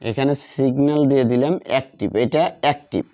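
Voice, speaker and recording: Man teaching grammar, slow and repetitive. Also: medium pitch, fairly even intonation, warm tone. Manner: repeats key words slowly while writing. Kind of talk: classroom lesson at a board. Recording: dull lo-fi sound.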